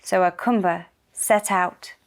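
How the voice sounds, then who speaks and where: A woman narrating a children's puppet-show story in simple, deliberate phrases. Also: fairly low pitch, fairly even intonation, background noise.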